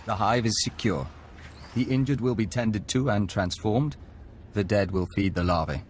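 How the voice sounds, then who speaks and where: A man voicing a calm, polite diplomat who speaks formally and evenly for an insect hive mind in a video game. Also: fairly low pitch, a little hiss or hum, lightly acted. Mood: serene, pleased. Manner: formal, measured, speaks of himself as we.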